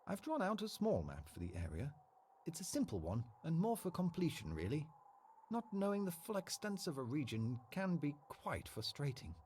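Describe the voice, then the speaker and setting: A man's voice: a little high, a little mellow, a little hiss or hum. A man playing a genial, mild-mannered bug mapmaker in a game, chatting politely and genteelly.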